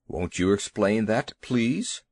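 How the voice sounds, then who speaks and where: A man voicing a huge, timid male elephant who talks in a slow, deep, grumbling way. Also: expressive, darkish tone. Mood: angry.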